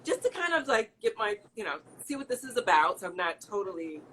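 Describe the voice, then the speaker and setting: A woman's voice: mid-range voice, sing-song, a little fast, a bit bright, slight background noise, poor audio. A middle-aged woman from the American Midwest, chatting casually as an interview guest, laughing often and restarting her sentences.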